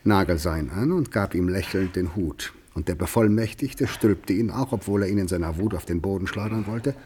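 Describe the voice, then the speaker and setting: Low-voiced male radio play narrator, noisy recording. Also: normal speed, mellow. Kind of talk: literary scripted narration, German radio drama.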